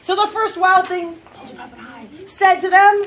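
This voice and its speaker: A woman's voice: very high-pitched, animated, moderate pace. A woman telling an interactive story to young children in a theatrical manner, prompting them to act along. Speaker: storyteller leading a children's puppet show.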